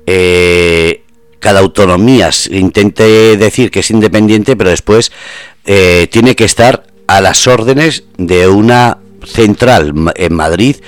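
A man's voice: fairly low pitch, takes it slowly, dry recording. A man hosting a conversational radio talk. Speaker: radio host.

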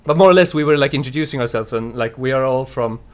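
A man's voice: medium pitch, fast, smooth. Man telling a rambling casual story. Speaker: conversation participant telling a story. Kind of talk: casual chat, personal storytelling.

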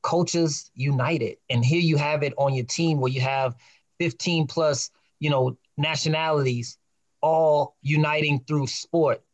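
A man hosting a podcast, asking guests questions in a warm, filler-heavy casual style. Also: on the high side, fairly even intonation, measured pace, dry recording. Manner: encouraging, peppered with fillers. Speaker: podcast host.

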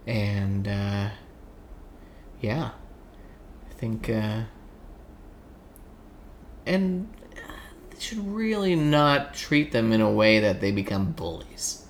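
A man's voice: lowish voice, very animated, very slow, long pauses, a little hiss or hum. A man with a Canadian accent giving casual, joking and somewhat hesitant answers in an interview. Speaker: podcast guest.

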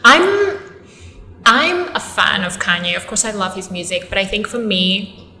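A young Ugandan woman with an accent, a model and actress telling stories in a casual interview, animated, with fillers and quick restarts. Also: mid-range voice. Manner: animated storytelling, fillers and self-corrections.

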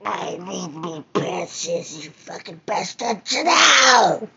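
A slow gravelly treasure-crazed creature. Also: male voice, sits high, heavily acted. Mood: frantic. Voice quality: gravelly, croaking. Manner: drawn-out, whining, cursing demands. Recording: muffled lo-fi with steady background noise.

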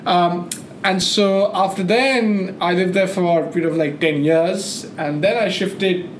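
A man's voice: sits high, steady tone, a little slow. A man, a podcast guest talking casually with lots of fillers and restarts. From India. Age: 20s. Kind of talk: casual conversation. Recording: echoey room, faint noise.

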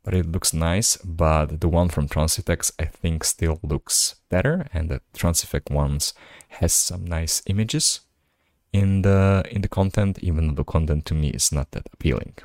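Male podcast host, Slovak native speaker, deep voice, dry close sound, reads aloud with casual asides. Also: moderate pace, a little mellow, no echo. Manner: casual, musing asides between read passages. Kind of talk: reading articles aloud with commentary.

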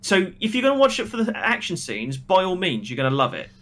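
A man's voice: high-pitched, wildly swooping pitch, quick pace, a bit bright. A man co-hosting a podcast, giving casual, blunt and critical opinions in a film review discussion.

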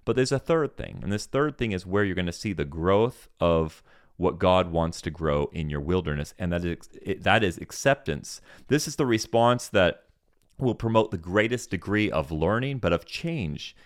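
Deep-voiced man preaching earnestly. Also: lively intonation, normal speed, dry recording, studio quality. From Senegal. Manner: earnest, repeats phrases, rises for emphasis. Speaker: podcast Bible teacher. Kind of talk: sermon-style Bible teaching.

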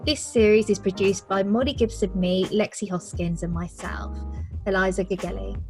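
A woman's voice: medium pitch, steady tone, normal speed, over music. A young woman hosting a podcast, presenting scripted introductions and putting questions to a guest. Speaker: podcast host, interviewer.

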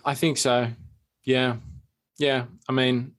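An Australian man co-hosting a podcast, chatting casually with dry jokes and a halting delivery. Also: medium pitch, level tone, fairly bright tone. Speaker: podcast co-host. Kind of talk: casual chat.

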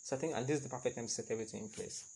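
A man's voice: mid-range voice, racing, dark tone, slight background noise. A young man hosting a casual solo podcast, chatty and rambling, laughing at his own remarks. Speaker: podcast host.

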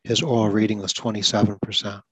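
A man's voice: lowish voice, level tone, fast, breathy edge, no echo. A man who stutters, hosting a talk about school support, explaining things earnestly with occasional repeated words. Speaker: podcast host.

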